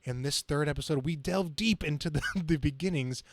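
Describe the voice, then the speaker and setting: A man's voice: fairly high pitch, brisk, a little hiss or hum. A man hosting a podcast, chatting casually and loosely about comics and movies. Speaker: podcast host.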